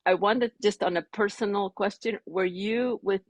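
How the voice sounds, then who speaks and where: A woman's voice: medium pitch, moderate pace, no echo. A woman whose first language is Spanish, a Zen priest asking a question, searching for words with many fillers. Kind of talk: remote Q&A question.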